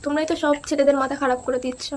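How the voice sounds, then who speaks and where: A young woman talks extremely fast in a high voice. She is teasing and insistent, like a prank caller pushing a casual chat along. There is clear background noise on the recording. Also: level tone. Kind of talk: casual prank phone chat with asides to viewers.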